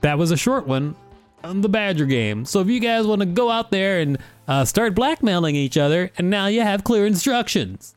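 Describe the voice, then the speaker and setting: A man's voice: high voice, lively intonation, normal speed, faint music behind. A man co-hosting a podcast, reading an article aloud and chatting casually. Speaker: podcast co-host.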